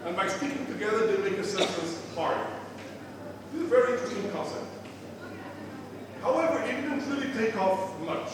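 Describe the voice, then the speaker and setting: A man's voice: high voice, noisy background. Portuguese man lecturing in echoey hall. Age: middle-aged. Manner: lively, chatty, digressive with many asides. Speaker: guest lecturer. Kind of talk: science lecture with slides. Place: large lecture hall with live audience. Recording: echoey hall, speaker far from mic.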